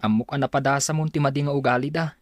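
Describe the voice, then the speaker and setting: A woman's voice: very deep voice, steady tone, fast, no echo. A woman playing a concerned, apologetic cousin in a radio drama, earnest and pleading, with an Ilocano Filipino accent.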